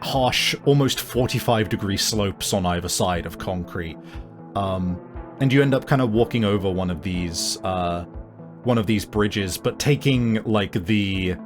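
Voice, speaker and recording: A man improvising narration as game master of a tabletop game, in a halting manner with frequent searching pauses. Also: on the low side.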